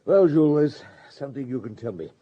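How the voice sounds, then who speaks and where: An actor playing a shrewd, middle-aged police superintendent in a radio drama, gruff and authoritative, given to musing and terse questions. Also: male voice, medium pitch, expressive, brisk, lo-fi sound. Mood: probing. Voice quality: gruff, husky. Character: shrewd, unhurried police superintendent on a murder case.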